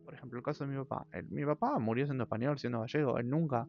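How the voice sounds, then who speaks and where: Gravelly Argentine man musing aloud. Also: medium pitch. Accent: Argentine Spanish. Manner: thoughtful, hesitant, many drawn-out fillers. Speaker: channel host. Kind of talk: reflective personal monologue. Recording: dull lo-fi audio with faint music.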